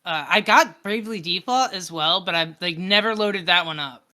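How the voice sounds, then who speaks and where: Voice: medium pitch, moderate pace, bright, forceful tone. A podcast co-host chatting about games in an informal, easygoing conversational way. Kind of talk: casual chat about video games.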